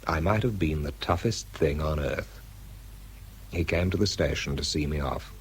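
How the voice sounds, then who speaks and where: A man's voice: very deep voice, moderate pace, heavy background noise. An actor playing an exhausted, self-doubting British bomb disposal man in a radio drama, introspective and understated in narration and dialogue. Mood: weary, reflective.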